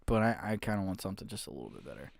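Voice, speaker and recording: A man's voice: low voice, very animated, rapid-fire, vocal fry, no echo, clean studio sound. A young man co-hosting a podcast, chatty and laughing easily into a desk mic. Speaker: podcast co-host. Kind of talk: casual chat.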